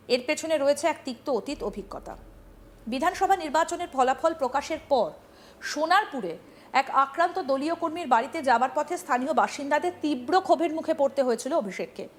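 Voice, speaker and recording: A woman anchors the news. Her voice sits high in pitch as she reads scripted narration.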